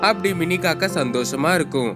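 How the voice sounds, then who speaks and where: A man's voice: fairly high pitch, animated, a little fast. A man narrating a scripted moral story for children. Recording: loud guitar music behind.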